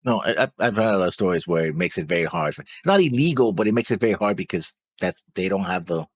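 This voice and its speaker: A man's voice: deep voice, lively intonation, fast talker, husky, dry recording, clean studio sound. A man hosting a reaction show, chatting casually in a rambling, explanatory way. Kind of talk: casual chat.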